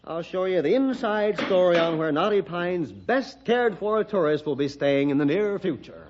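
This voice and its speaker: A man's voice: high-pitched, very animated, moderate pace. An actor playing a genial, confident young small-town businessman with an American accent, chatty and easygoing, in a 1960s radio drama. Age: young adult. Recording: muffled, faintly noisy old radio recording.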